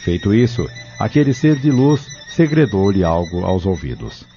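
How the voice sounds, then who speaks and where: A man's voice: sits low, very animated, normal speed, faint music behind. A man narrating an audio drama from a script. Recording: dull lo-fi audio drama recording.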